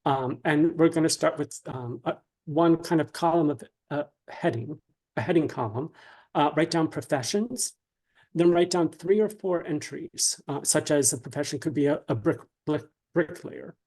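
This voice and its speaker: Male interview guest, slightly higher register, careful and halting explainer, informal teaching tone. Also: darkish tone. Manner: hesitant, halting, measured explaining. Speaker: guest writer being interviewed. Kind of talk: informal instructional interview talk.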